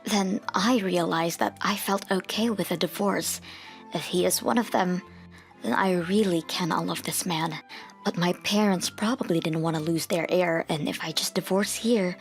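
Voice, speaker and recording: Low-pitched woman narrating a comic story. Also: normal speed. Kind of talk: scripted first-person story narration. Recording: music behind the voice.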